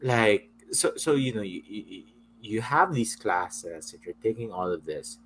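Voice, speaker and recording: Lively, teasing male host. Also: fairly low pitch, measured pace, slight background noise, low-quality recording. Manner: frank and teasing. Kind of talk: casual chat.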